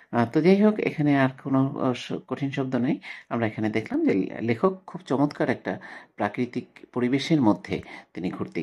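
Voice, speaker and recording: A man speaking as a teacher, reading a text aloud and explaining it with frequent hesitations and pauses. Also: on the low side, darkish tone, slightly rough. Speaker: Bengali literature teacher for school pupils.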